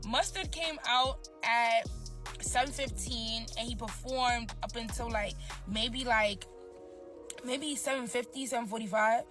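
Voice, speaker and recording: A woman with a high, bright voice chats casually and tells a story with lots of slang and expression. Music plays clearly behind her. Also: a little slow. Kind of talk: casual chat.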